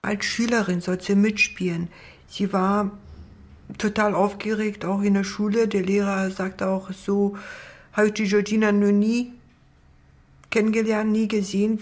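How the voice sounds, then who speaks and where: Woman, medium pitch, very even intonation, spontaneous interview recollection. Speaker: interviewee, mother of a missing girl.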